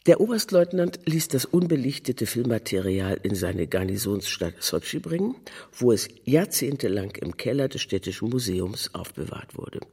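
A man reads a literary narrative in with measured, deliberate phrasing. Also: medium pitch, very animated, vocal fry, a little mellow, slightly rough. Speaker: narrator, reader of a literary text.

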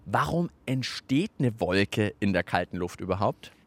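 A man's voice: on the low side, expressive, unhurried, no echo. Man, children's science host, chatty, swooping intonation, close dry recording. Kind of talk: lively chatty co-hosting for children.

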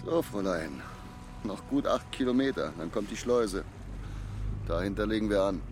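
Man acting a low-voiced, brooding old river skipper. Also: animated, moderate pace, over music, lightly acted. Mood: gruff, kindly. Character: weathered male barge skipper, ex-crook, gruff but kindly. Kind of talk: German audio drama acting, storytelling.

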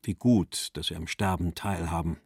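A man with a fairly low voice recites literary texts in a solemn tone. He takes his time over the words, and the sound is dry, with no room around it. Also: steady tone, normal speed, vocal fry, breathy edge, dry recording. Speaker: reader of quotations. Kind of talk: literary recitation of poetry and letters.

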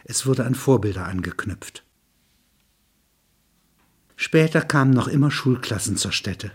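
A man reading scripted literary prose in a measured, sober manner, with a husky, rough-edged voice. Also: medium pitch, expressive. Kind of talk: scripted narration of a literary audio piece.

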